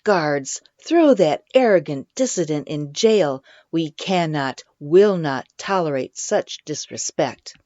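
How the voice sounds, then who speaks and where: A woman voicing a jealous, domineering male tyrant who shouts furious commands. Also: deep voice, lively intonation, takes it slowly, few pauses, dry recording, muffled recording.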